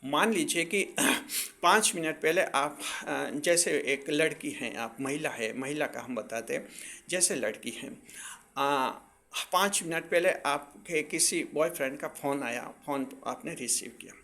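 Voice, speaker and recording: A man's voice: on the high side, measured pace, vocal fry, pushed and bright. A man presenting astrology advice to viewers in a didactic way, repeating and rephrasing his points for emphasis.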